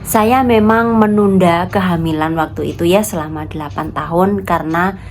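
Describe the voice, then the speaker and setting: A woman's voice: on the low side, moderate pace, a little hiss or hum. A woman in her thirties from Indonesia with a stuffy, congested nose from a cold, chatting casually to her viewers in a home vlog. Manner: chatty, addresses viewers as friends. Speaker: vlogger.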